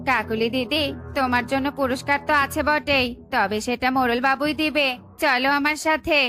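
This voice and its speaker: Woman voicing a high, brisk little cartoon bird. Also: steady tone, music in the background, close to an everyday voice. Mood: firm. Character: kind, dutiful little female tuni bird.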